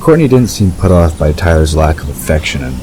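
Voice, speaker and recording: A man narrating a scripted story in a measured storytelling delivery. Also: extremely low pitch, lively intonation. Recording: clear background ambience noise.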